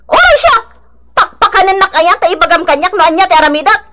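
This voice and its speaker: An actress playing a gossipy, combative village wife in a radio drama, with a shrill, defensive, theatrical delivery. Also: female voice, expressive, brisk, very noisy. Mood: furious. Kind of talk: Ilocano radio drama acting.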